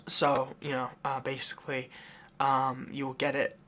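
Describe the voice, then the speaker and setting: A man's voice: medium pitch, completely flat delivery, unhurried, sharp tone. A man hosting his own channel, giving a casual, unscripted update in a rambling, hesitant way. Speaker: channel host, audio roleplay creator.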